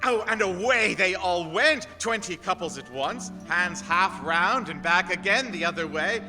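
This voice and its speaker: A man's voice: high-pitched, animated, unhurried, pushed and bright, heavily acted. A man giving theatrical storybook narration as narrator in a radio play. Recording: reverberant hall, music underneath.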